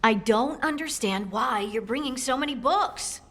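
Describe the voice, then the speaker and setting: A woman's voice: on the high side, animated, unhurried. A young outdoorsy scout girl in a game, plain talking, teasing, bouncy intonation, bit of music behind. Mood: exasperated, teasing. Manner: plain-spoken, informal. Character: practical, outspoken young outdoorswoman scout, friendly, teasingly exasperated. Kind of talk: video game cutscene acting.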